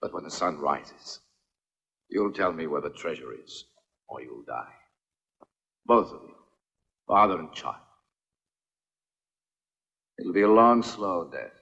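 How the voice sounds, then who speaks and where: Guy playing a cruel movie villain, low swoopy theatrical voice, mocking and menacing, old dubbed film. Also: animated, takes it slowly, vocal fry, pauses often, fairly bright tone, heavily acted. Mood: cold threat. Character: cruel, mocking male villain who threatens prisoners. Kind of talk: dubbed historical adventure film acting. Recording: dull dubbed film soundtrack with music.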